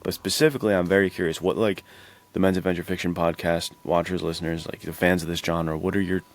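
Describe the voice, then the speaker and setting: A man's voice: low voice, level tone, fast. A man hosting a podcast, chatting casually about films in informal, slangy language. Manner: informal slang, dude-heavy. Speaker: podcast host.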